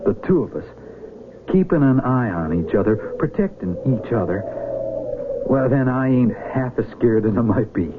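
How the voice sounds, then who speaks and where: A man's voice: mid-range voice, lively intonation, normal speed, lightly acted. Casting: man as plain-spoken American Union soldier, protective comrade, emphatic, 1970s radio drama, noisy lo-fi broadcast. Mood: earnest, reassuring. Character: loyal, folksy Union infantryman, protective comrade under fire. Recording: muffled vintage radio, battle noise behind.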